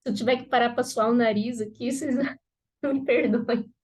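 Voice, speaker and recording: A Brazilian woman with a slightly high voice teaches students in a live class. She is stuffed up with a cold, and her talk stays casual and chatty, with lots of "né?" tags. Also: fairly even intonation, normal speed, darkish tone, slightly rough. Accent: Brazilian Portuguese. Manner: conversational, frequent "né?" tags. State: congested with a cold. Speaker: pharmacist teaching aesthetics students.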